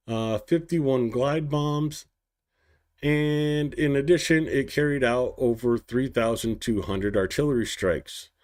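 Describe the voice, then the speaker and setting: A man hosting a daily news stream, reading war reports aloud slowly and stumbling over hard foreign place names, with casual asides. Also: mid-range voice, a little mellow. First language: English. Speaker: news show host.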